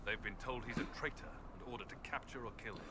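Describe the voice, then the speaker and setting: An actor playing a world-weary adventurer hero in a fantasy game, with a dry, sardonic manner. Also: male voice, mid-range voice, rapid-fire, a crowd in the background, natural voice. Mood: wry, grim. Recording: echoey cave, faint noise.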